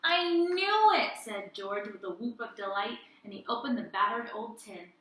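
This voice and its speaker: A woman teacher reading a story aloud and chatting to young children in an expressive way. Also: mid-range voice, moderate pace. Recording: roomy indoor echo.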